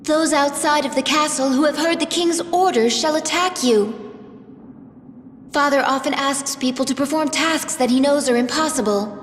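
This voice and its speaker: High, earnest young noblewoman, played by a woman. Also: moderate pace, slight background noise, close to an everyday voice. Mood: concerned. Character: gentle, worried young noblewoman. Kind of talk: fantasy video game voice acting. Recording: echoey hall reverb, music behind.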